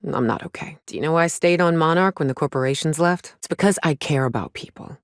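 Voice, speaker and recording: A woman's voice: low voice, brisk, dry recording, studio quality. Blunt, weary frontier woman. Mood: disillusioned. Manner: blunt, plainspoken, folksy, sweary. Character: weary, cynical but caring frontier woman, hard-drinking hunter. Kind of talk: video game character dialogue.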